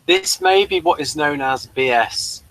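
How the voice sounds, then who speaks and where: A man hosting a casual review chat in a mild, understated and somewhat halting way. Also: fairly high pitch, wildly swooping pitch, vocal fry, a bit bright, low-quality recording. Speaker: host of a review show.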